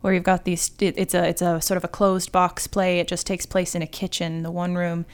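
A woman's voice: on the low side, little pitch movement, brisk, smooth. A woman writer talking casually about fiction as a guest on a discussion podcast.

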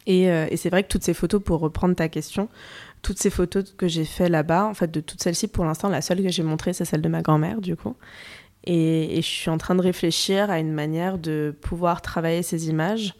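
Reflective young French woman, low voice. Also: fairly even intonation, a little fast, a little mellow. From France. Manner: reflective, many fillers and restarts, thinking aloud. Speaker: photographer and artist, interview guest. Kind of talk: casual interview conversation.

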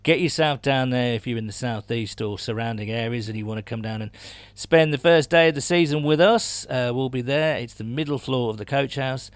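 A man's voice: mid-range voice, a little fast, no room sound. A British man hosting a casual sports podcast, talking in a chatty, rambling way and often correcting himself. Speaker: podcast host.

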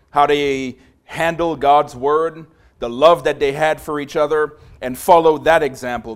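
A man in his forties from Trinidad, preaching to a congregation in a small room. He speaks with growing passion and a big voice, with many filler pauses. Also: a little high. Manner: passionate, grows louder as he preaches. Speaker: visiting preacher. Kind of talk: sermon.

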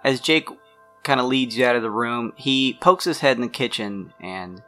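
A man's voice: medium pitch, normal speed, bright, forceful tone, faint music behind. A man working as game master, narrating a tabletop role-playing scene in an improvised way.

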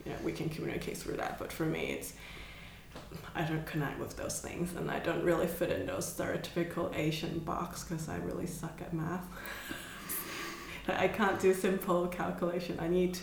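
A woman's voice: on the low side, expressive, moderate pace, gravelly, a little hiss or hum. Woman, hesitant, echoey room. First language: Cantonese. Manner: hesitant, searching for words, laughs often. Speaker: artist guest. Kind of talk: casual conversation.

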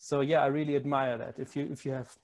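A German man hosting an interview in English, feeling his way through sentences with halting restarts. His voice has a gravelly fry at a middle pitch. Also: level tone, a little mellow. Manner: hesitant, many restarts and repeated words. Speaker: podcast host, interviewer. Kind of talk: casual interview chat.

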